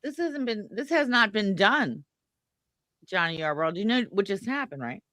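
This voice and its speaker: A woman's voice: mid-range voice, lively intonation, normal speed, sharp tone, no room sound, studio quality. A woman in her forties chatting bluntly and laid-back on a livestream. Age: 40s. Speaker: guest streamer. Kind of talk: casual livestream chat.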